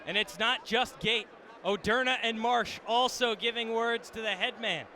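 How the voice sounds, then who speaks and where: A man with a high voice works as color commentator on a live radio sports broadcast. He reacts to and explains the game with clear venue noise behind him. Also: moderate pace, pushed and bright.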